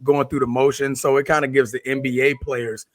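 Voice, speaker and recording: A man with a hoarse voice, co-hosting a sports podcast in easy, casual chat. His pitch sits mid-range and the recording is dry and close, with no room around it. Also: flat delivery, a little fast, no echo. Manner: laid-back, says "man" a lot. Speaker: podcast co-host.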